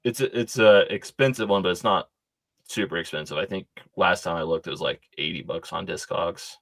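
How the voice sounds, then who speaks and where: A man's voice: deep voice, a little fast, fairly bright tone, dry recording. A man on a podcast chatting casually in a laid-back, hesitant way with many ums. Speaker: podcast guest.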